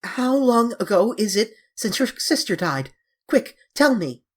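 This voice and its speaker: Dorian Gray, a man doing a frantic young gentleman. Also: high-pitched, animated, moderate pace, pitched above the performer's own voice. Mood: panicked. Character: young man, desperate, pleading then triumphant. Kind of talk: audiobook character voice.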